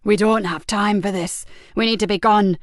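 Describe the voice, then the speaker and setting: A woman's voice: medium pitch, steady tone, normal speed, no echo, clean studio sound, lightly acted. A woman voicing a ruthless male crime boss, giving curt, menacing orders.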